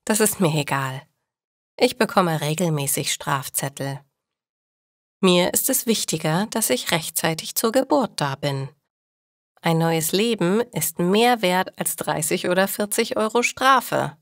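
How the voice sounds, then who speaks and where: A woman's voice: fairly low pitch, lively intonation, measured pace, dry recording, clean studio sound. A woman voicing a carefree, self-assured female midwife in a story, breezy and unbothered.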